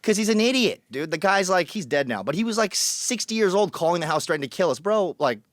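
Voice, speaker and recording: A man's voice: sits high, lively intonation, fast, no echo, clean studio sound. A man from Colombia telling his story as a podcast guest, blunt and joking, acting out the people he quotes. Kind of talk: casual storytelling.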